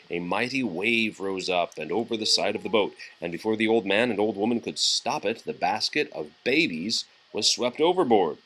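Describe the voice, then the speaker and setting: A man's voice: on the low side, fairly bright tone, slight background noise, rough recording. A man reading a children's picture book aloud as a story for a young child.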